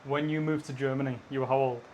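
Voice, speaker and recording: Guy interviewing someone, pretty monotone, mid pitch, steady questions. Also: quick pace, dull audio, slight background noise.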